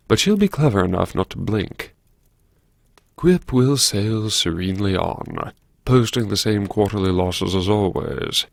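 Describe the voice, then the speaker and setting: A man's voice: deep voice, creaky, no echo, clean studio sound, exaggerated voice. A man playing a wry, brooding, disgraced businessman who mutters to himself in a theatrical audiobook monologue. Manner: sardonic, theatrical, muttering to himself with sighs and pauses. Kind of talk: first-person audiobook monologue, dramatic reading.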